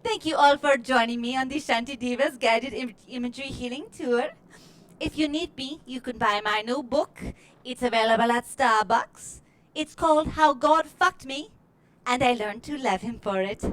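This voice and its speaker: High-voiced, vain new-age diva guru. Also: female voice, moderate pace, fairly bright tone, low-quality recording. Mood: boastful, haughty. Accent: put-on, hard to place. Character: vain, snippy new-age diva guru, self-styled spiritual supermodel. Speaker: comedy performer. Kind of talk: character comedy monologue.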